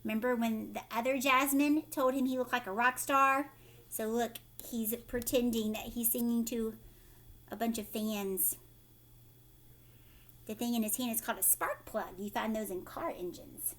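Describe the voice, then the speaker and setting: High-voiced woman reading to children. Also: normal speed. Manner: animated, addresses young listeners directly. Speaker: read-aloud teacher. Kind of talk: storybook read-aloud with chatty asides to children.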